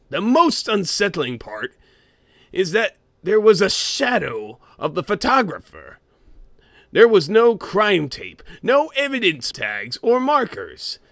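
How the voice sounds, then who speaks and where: A man's voice: on the high side, bright, forceful tone, dry recording, clean studio sound, full character voice. A man voicing an old cartoon crab sailor, narrating a horror story in a theatrical, salty seafaring manner.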